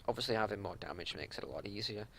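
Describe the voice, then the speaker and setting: A man giving unscripted gameplay commentary as a raid leader, explaining tactics in a matter-of-fact way over a home recording with some low rumbling noise. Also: expressive, very fast, a bit bright.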